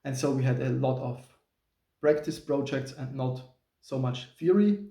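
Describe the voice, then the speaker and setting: Austrian German-accented man, 30s, mellow, careful non-native phrasing, distant mic. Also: mid-range voice, unhurried. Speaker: channel host, software engineer. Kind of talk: casual talking-head vlog. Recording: roomy sound from a distant mic.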